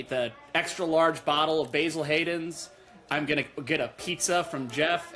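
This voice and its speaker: A man's voice: fairly high pitch, bright, forceful tone. An American man in his thirties from Missouri co-hosting a podcast over a remote connection, chatting casually and repeating phrases for emphasis. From Missouri, United States. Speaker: podcast co-host. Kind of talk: casual catch-up chat. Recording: noisy remote internet connection.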